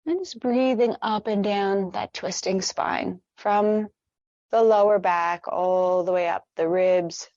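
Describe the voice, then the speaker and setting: A woman leading a guided meditation with stretches, speaking in a gentle, soothing, unhurried manner. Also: medium pitch, fairly even intonation, no echo, muffled recording.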